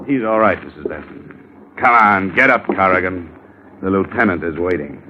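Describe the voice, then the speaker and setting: An actor playing a wry, confident American insurance investigator in old-time radio style, clipped and matter-of-fact. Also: male voice, low-pitched, moderate pace, background noise, natural voice. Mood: dryly unruffled. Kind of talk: 1940s-50s radio detective acting and first-person narration. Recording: muffled vintage radio recording with music.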